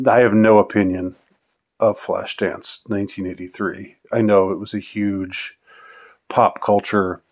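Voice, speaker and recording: A man in his fifties answering viewer questions in a casual, unscripted monologue, rambling and halting as he lets thoughts trail off. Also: deep voice, a little mellow. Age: 50s.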